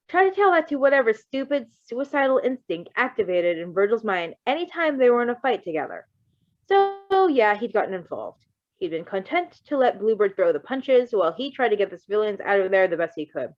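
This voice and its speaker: A woman reading fan fiction aloud with casual asides, expressive and emotionally invested in the story. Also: medium pitch, no room sound, dull audio.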